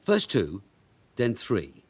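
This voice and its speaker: A shrewd veteran English detective sergeant in a British radio drama. He is brisk and wry, with a very lively, varied pitch. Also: heavily acted. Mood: hurried, bossy.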